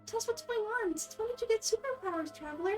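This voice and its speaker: A woman voicing a small, childlike fairy game companion who is excitable and nosy, with a squeaky high voice full of questions and exclamations. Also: fast talker, soft background music. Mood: excited, pressing. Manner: exclaiming, questioning, speaks of self in third person.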